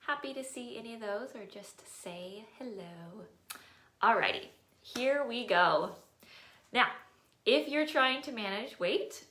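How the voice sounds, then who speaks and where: A woman's voice: medium pitch, a bit bright. A woman who is a dietitian and personal trainer teaching a live health class, sounding a little nasal with a tissue in one nostril, friendly and upbeat, laughing at herself now and then. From California. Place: indoor room, streaming live. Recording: echoey room.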